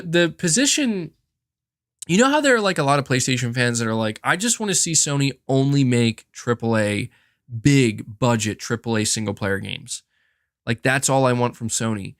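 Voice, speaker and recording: A man speaks as a gaming podcast host in a medium-pitched voice. His delivery is casual and conversational, with emphatic stress and repeated phrases to make a point. The recording is dry, with almost no room sound. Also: fairly bright tone, dry recording. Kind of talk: casual gaming commentary, answering chat comments.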